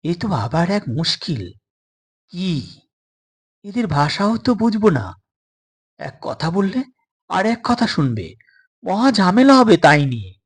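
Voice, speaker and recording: A man's voice: high-pitched, very animated, takes it slowly, pauses often, husky, voice pushed up, exaggerated voice. A man voicing an eager but wary young boy in an audio story, plain-spoken and earnest. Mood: worried.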